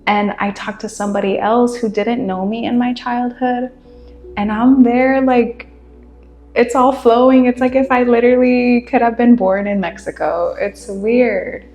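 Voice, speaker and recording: Woman podcaster, first language Spanish, unhurried rambling chat, laughs a lot, roomy echo. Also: on the high side, faint music behind. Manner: relaxed, rambling, laughs often. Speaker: podcast host. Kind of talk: casual monologue. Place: her room.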